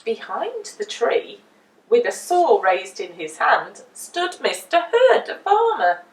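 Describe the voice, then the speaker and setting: A woman telling a children's story in an expressive, deliberate way with dramatic emphasis. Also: on the high side. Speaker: storyteller reading a children's book. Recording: roomy echo, distant microphone.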